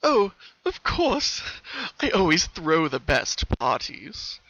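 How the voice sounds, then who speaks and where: A man's voice: sits high, wildly swooping pitch, slow, bright, forceful tone, dry recording, dull audio, pitched above the performer's own voice, heavily acted. A man voicing a creepy, falsely friendly old colt who plays party host in a dramatic story reading. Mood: creepy welcome.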